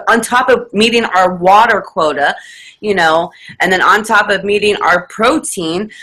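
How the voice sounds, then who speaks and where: A woman's voice: medium pitch, pushed and bright, breathy edge. A woman hosting a casual chat, talking in a chatty, exasperated way.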